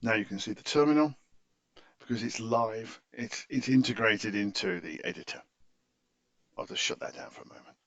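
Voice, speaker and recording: Gravelly man with lively pitch, walking through software. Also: moderate pace, dry recording, highs cut off. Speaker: software tutorial presenter. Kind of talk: unscripted screen-share tutorial walkthrough.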